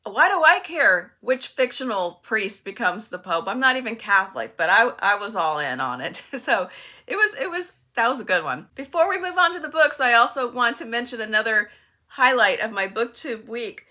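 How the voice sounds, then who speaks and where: A woman's voice: a little high, lively intonation, normal speed, sharp tone. An older woman chatting casually in a book vlog, conversational and wry, with some self-deprecating humour. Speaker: book vlogger and co-host.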